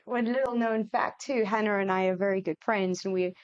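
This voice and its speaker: A woman from Zimbabwe co-hosting a casual podcast interview, friendly and quick to laugh. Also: mid-range voice, steady tone. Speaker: podcast co-host. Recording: slightly dull lo-fi sound.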